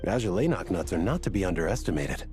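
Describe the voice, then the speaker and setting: A man's voice: low-pitched, big pitch swings, fast, a little mellow, faint music behind. A man voicing a rambling, absent-minded scholar of desert lore in a video game, earnest and hesitant. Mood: distracted.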